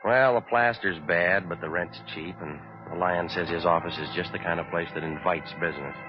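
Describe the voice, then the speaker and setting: An American actor playing a cynical, wisecracking private detective in a 1940s radio drama, terse and deadpan. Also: male voice, deep voice, normal speed, natural voice. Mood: dry, wry. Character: cynical wisecracking hard-boiled male private detective narrator. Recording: dull vintage radio recording with music.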